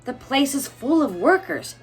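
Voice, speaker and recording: A woman voicing astonished townspeople in a storybook, exclaiming excitedly with a raised voice. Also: sits high, measured pace, soft background music, pitched above the performer's own voice. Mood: baffled. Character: astonished, gossiping townspeople crying out.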